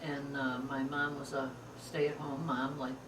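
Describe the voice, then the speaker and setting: A woman's voice: rumbling low, flat delivery, unhurried. Ninety-year-old American woman, distant and noisy recording. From upstate New York, United States. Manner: piecing memories together, checking with others. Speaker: interviewee, family elder. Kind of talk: informal oral history recollection. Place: echoey room, away from the microphone. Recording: echoey, distant, clear background noise.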